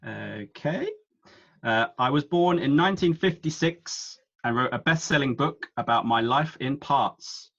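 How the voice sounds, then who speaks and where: A man hosting a quiz over a video call, reading each clue slowly and then again. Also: medium pitch, a bit bright, lo-fi sound. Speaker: quiz host.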